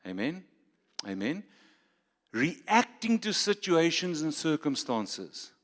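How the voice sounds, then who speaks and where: A South African man preaches slowly and deliberately, his voice swinging widely from hushed lows to loud, high peaks. His sentences come in emphatic bursts built on rhetorical questions. The sound carries a long reverberant tail, as in a large church hall. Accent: South African English. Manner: emphatic, rhetorical questions, switches to Afrikaans for jokes. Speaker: pastor. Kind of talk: sermon.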